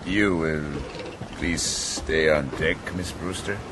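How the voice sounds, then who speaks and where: Domineering, cunning sea captain. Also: male voice, extremely low pitch, steady tone, slow. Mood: quietly menacing. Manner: commanding, curt, slyly genial. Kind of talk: radio drama acting. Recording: noisy outdoor ship ambience.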